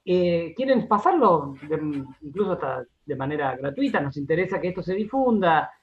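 An Argentine man being interviewed on radio, explaining in a halting, conversational way and often repeating his words. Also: fairly high pitch, sing-song, muffled recording. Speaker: interview guest, radio drama director and writer.

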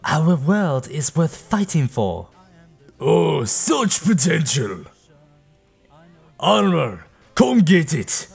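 A young man voicing high-pitched, perky male versions of game heroines. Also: very animated, very slow, gravelly, long pauses, husky, soft background music, full character voice. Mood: cheerful. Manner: bright, perky, playful.

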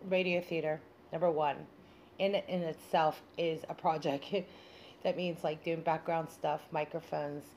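A woman with a slightly low voice hosts her show solo. She talks informally and loosely, rambling as though improvising. There is faint noise behind her voice. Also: darkish tone. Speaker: show host. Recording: noticeable background noise.

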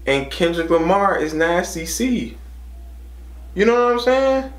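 High-voiced young American man, echoey room. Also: very animated, measured pace. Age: 20s. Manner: slangy, repeats phrases. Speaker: reaction video host. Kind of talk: casual music reaction chat. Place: small room indoors.

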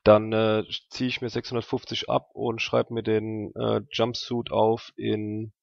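A man's voice: lowish voice, monotone, measured pace, vocal fry, dry recording, muffled recording. A man playing a tabletop role-playing game, chatting casually and working through rules and numbers aloud.

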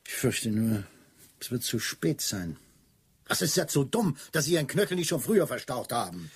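Police chief, radio play, very rough husky tone, impatient, wry, commanding. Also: male voice, mid-range voice, very animated, normal speed. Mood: brisk, impatient. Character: impatient, sardonic male Scotland Yard police superior. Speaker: radio drama actor. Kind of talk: German radio play dialogue.

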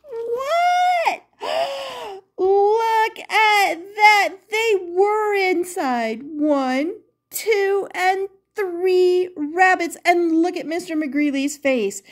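An older woman, a grandmother, reading a children's picture book aloud expressively and putting questions to her young listeners. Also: high-pitched. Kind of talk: animated children's storybook read-aloud.

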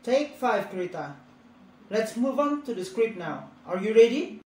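An exasperated film director voiced by a woman, commanding and curt. The voice sits a little high, with room echo over a video call. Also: big pitch swings, a little slow, warm tone, a little hiss or hum. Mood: firm. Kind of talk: amateur dramatic reading. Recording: roomy video-call sound.

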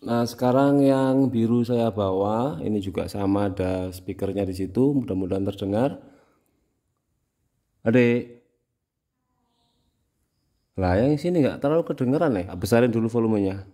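Low, mellow Javanese-accented Indonesian man. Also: normal speed, long pauses. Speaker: product tutorial host. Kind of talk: casual how-to demonstration. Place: indoors at home, small room.

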